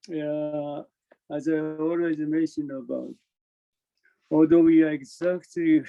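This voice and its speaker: A man with a Japanese accent giving an informal spiritual talk in English as a Zen master, halting, repeating his phrases and chuckling often. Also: a little high, vocal fry, mellow, rough recording. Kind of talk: informal spiritual talk over online meeting. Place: online video meeting.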